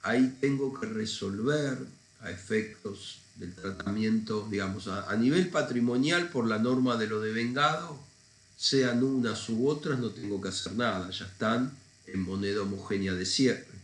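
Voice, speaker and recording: A man's voice: fairly low pitch, normal speed. A man with a congested voice from a cold, teaching an accounting lesson step by step in an informal, didactic way. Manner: didactic, informal, thinking aloud. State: congested with a cold, often clearing throat. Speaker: accounting lecturer. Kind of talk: recorded lesson, explaining step by step. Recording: echoey room sound.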